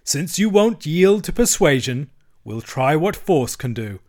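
A man's voice: medium pitch, lively intonation, normal speed, close to an everyday voice. A man voicing a stern male badger from a storybook, solemn and commanding, given to speechifying. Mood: firm, commanding. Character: stern, oratorical male badger, gang leader. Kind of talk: storybook character voice.

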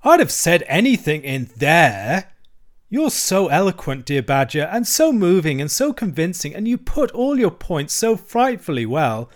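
A man voicing a vain, excitable male toad from a storybook, stubborn and gushing in delivery. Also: on the high side, measured pace, voice pushed up. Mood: impatient, gushing. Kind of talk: storybook character voice.

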